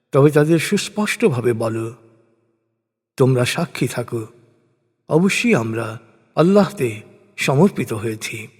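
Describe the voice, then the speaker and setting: A man narrating translated scripture as solemn scripted prose, formal and declamatory, in measured short phrases. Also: on the high side, darkish tone. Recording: long hall-like reverb.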